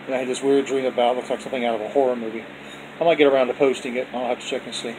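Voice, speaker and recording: Brief: folksy male home vlogger at the dinner table, phone-recorded, air-conditioner hum clearly audible behind him. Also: medium pitch, steady tone, racing. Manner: folksy, repetitive, with religious blessings. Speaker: vlog host showing his dinner. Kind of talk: casual off-camera food vlog. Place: indoors at home, dining table.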